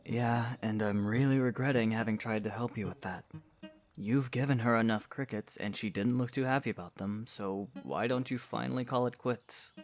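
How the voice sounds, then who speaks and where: A man's voice: medium pitch, moderate pace, soft background music, natural voice. A man playing a weary, reluctant young knight in a fantasy audio drama, dry and resigned. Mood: regretful, exasperated.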